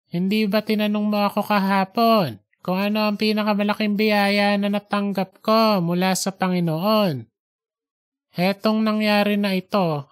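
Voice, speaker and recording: A narrator acts out an elderly, devout father in an audiobook tale. He speaks slowly and earnestly, with a solemn tenderness toward the young. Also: mid-range voice, smooth, lightly acted. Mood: tender, grateful. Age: 80s. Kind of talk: audiobook character dialogue.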